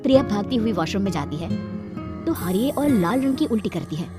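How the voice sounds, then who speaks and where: Female storyteller, dramatic and suspenseful, animated intonation. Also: mid-range voice, fairly quick, music in the background. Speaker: narrator. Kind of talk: scripted storybook narration.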